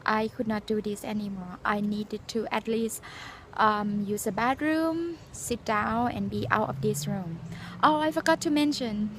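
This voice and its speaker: A woman in her forties from Thailand, speaking English with a Thai accent as a second language, telling her exam story in an earnest, halting way with many fillers. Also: medium pitch, a bit bright, a little hiss or hum. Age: 40s. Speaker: nurse sharing exam experience. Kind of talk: casual personal vlog, storytelling and advice.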